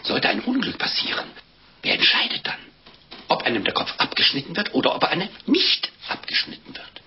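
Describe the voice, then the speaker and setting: An actor playing a plump little monk, flustered, pleading and pious in a comic radio play. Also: male voice, a little high, wildly swooping pitch, fairly quick, a little hiss or hum. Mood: earnest, pleading. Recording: dull vintage radio play, music behind.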